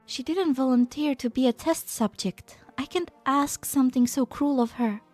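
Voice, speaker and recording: A woman playing a gentle, idealistic female scientist in a dramatic fan dub. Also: high voice, normal speed, soft background music. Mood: gentle, wistful.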